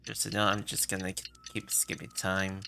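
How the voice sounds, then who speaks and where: A man chatting casually as a game livestreamer, halting and rambling with hesitant phrasing. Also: sits low, monotone, creaky, background noise. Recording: game music behind the voice.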